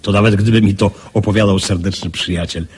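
An actor playing an excitable gentleman passenger at cards, with a theatrical radio drama delivery. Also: lowish voice, a little fast, slight background noise, natural voice. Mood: earnest, amazed. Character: bored, excitable male card-playing passenger.

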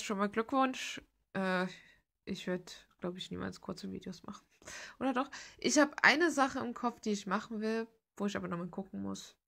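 Young woman rambling to her viewers, creaky voice, super informal, blunt and sweary. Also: mid-range voice, a bit bright. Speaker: video creator. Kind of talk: casual rambling art vlog chat.

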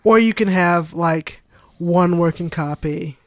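A woman's voice: fairly low pitch, takes it slowly, no room sound, studio quality. Woman co-host, casual podcast chat. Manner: agreeable, frequent short backchannels.

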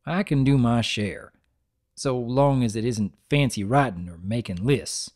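A big male farm pony as a narrator acts him out in a cartoon story. He is gentle and plainspoken. His talk is folksy, brief and earnest. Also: medium pitch, measured pace, natural voice. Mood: mild, earnest.

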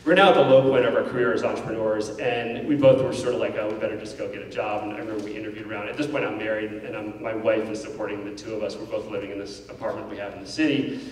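Middle-aged man, keynote storyteller, rapid and candid, heard from far back in a big hall. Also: on the low side, a little hiss or hum. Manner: animated, gestures while pacing the stage; candid, self-deprecating. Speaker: keynote speaker. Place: large indoor auditorium stage. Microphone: handheld mic.

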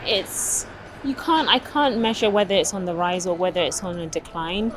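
A young woman from London, working in HR, speaking deliberately in a street interview outdoors. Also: on the low side. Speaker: HR worker.

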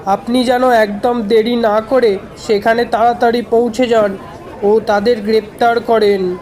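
A woman's voice: a little high, steady tone, a little slow, darkish tone, a faint crowd, close to an everyday voice, low-quality recording. A woman voicing a dutiful forest guard who reports formally to a superior in a cartoon story. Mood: dutiful, urgent. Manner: formal, deferential.

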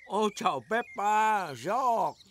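An actor playing a kindly old grandfather pig in a children's cartoon dub, gentle, coaxing and hearty. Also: high voice, lively intonation, slow pace, slight background noise, heavily acted. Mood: cheerful, coaxing. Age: older. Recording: music behind the voice.

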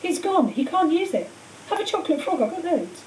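A woman voicing an earnest, thoughtful schoolboy who reasons gently but with determination. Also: very high-pitched, very animated, fairly quick, pitched above the performer's own voice. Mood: consoling. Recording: hissy, roomy, distant microphone.

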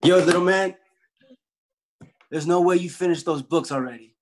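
A young actor playing an easygoing, streetwise taco shop worker who loves books, reading casually and haltingly in a comedy table read. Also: male voice, high-pitched, close to an everyday voice. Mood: teasing, easygoing. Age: young adult. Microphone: laptop video call.

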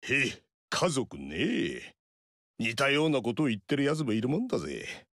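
A man voicing a gruff veteran warlord with a rough, teasing, drawling way of talking in video game dialogue. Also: medium pitch, huge pitch swings, moderate pace, a bit bright, dry recording, clean studio sound, exaggerated voice. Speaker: warlord character.

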